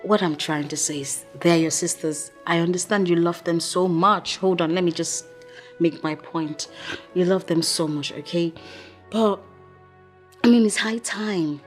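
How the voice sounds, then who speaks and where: A woman's voice: on the low side, a little slow, faint music behind, close to an everyday voice. A woman playing a frustrated, pleading wife in a screen drama. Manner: pleading, complaining. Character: frustrated wife fed up with live-in sisters-in-law. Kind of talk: naturalistic screen drama acting.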